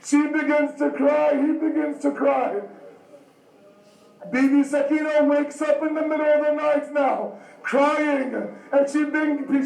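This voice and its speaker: A man's voice: very high-pitched, creaky, a little mellow. A man preaching an impassioned mourning sermon, telling a tragic story with dramatic, repetitive, acted-out dialogue in an anguished voice near tears. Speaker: preacher, religious lamentation reciter. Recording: echoey hall, distant microphone.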